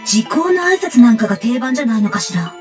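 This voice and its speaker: An exasperated young woman from a Japanese visual novel, speaks in a high voice. Faint music plays behind her. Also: big pitch swings, rapid-fire, a little mellow. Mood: flustered, hesitant.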